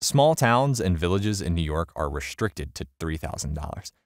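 A man reading a first-person online story aloud, telling it in an animated way. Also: normal speed, no room sound, studio quality. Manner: animated storytelling with wide pitch swings. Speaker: story narrator.

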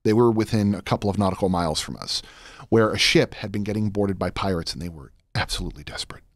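An American man in his thirties, a podcast guest telling personal stories in a halting but emphatic way. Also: deep voice, no echo, clean studio sound. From Florida, United States. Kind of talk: conversational personal storytelling. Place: small podcast studio, indoors. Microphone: close boom studio mic with headphones.

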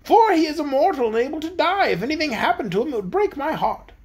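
A man voicing a proud, dramatic young queen in a storybook reading, with a high, theatrical delivery. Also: expressive, fast talker. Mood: tender.

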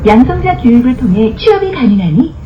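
A woman's voice: fairly high pitch, very animated, brisk. A woman reading scripted bus announcements in a polite, formal manner. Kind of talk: scripted public transit announcement. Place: played over speakers inside a bus. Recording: roomy, muffled, distant, loud bus noise. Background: loud bus ambience and vehicle noise.

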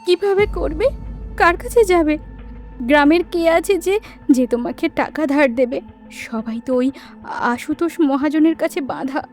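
A woman's voice: sits high, a little slow, pauses often, a little mellow, soft background music. A woman voicing an anxious poor village wife and mother in a horror cartoon, pleading tearfully and fearfully. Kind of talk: animated horror story acting.

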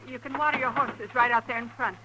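An actress playing a wary, weary, poor homesteader's wife with a rural Western American accent, guarded and plain, in a 1950s radio western. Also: female voice, fairly high pitch, fairly quick, close to an everyday voice. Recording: hissy, thin vintage 1950s radio recording.

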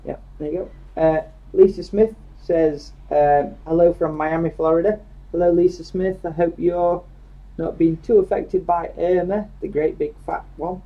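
A man's voice: on the high side, fairly even intonation, unhurried, hardly any pauses. A British man with an offhand, teasing manner, casually reading out viewer comments as co-host of a live stream. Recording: dull lo-fi sound.

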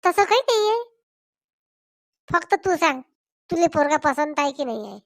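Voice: sits high, sing-song, normal speed, no echo. A performer voicing earnest village elders in a comedy cartoon, coaxing and addressing people by name. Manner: coaxing, repeats names when addressing people. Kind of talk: Marathi comedy cartoon dialogue.

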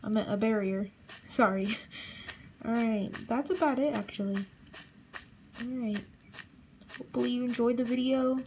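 A young woman casually narrating a walkthrough of a game build she made, halting and relaxed, with fillers. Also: a little high, a little hiss or hum. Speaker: channel host.

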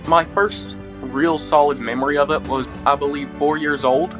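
A man's voice: fairly high pitch, fairly even intonation, normal speed. A man, recalling his first games in an easygoing way. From eastern Kentucky. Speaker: interviewee gamer. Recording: music bed underneath.

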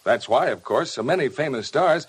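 Animated, husky American radio announcer man. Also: medium pitch, normal speed. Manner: polished, promotional. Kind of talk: scripted 1950 radio announcing and ad pitch.

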